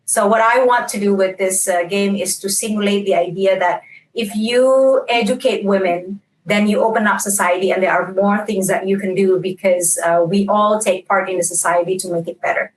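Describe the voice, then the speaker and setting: A woman's voice: mid-range voice, fairly even intonation, moderate pace. A woman from Indonesia, a game designer, talking casually as a panel guest, often restarting words and laughing easily. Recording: echoey and distant with faint noise.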